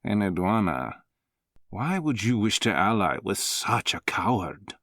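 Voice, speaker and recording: Slow, menacing scheming prince. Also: male voice, lowish voice, sing-song, fairly bright tone, no echo, studio quality, exaggerated voice. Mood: mocking, accusing. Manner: measured, mocking, threatening. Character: scheming, arrogant young noble prince, menacing nephew. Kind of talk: dramatic visual novel acting.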